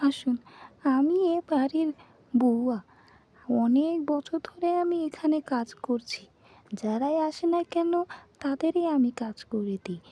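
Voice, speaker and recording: A woman voicing an obliging longtime housemaid in a horror cartoon, speaking in a deferential, deliberate way. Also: high-pitched, dark tone.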